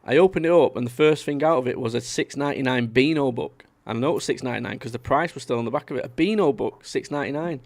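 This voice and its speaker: A man's voice: mid-range voice, quick pace, creaky, few pauses, no echo. A man, chatting casually to viewers on a live stream and reading out their comments. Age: 30s. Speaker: livestream host.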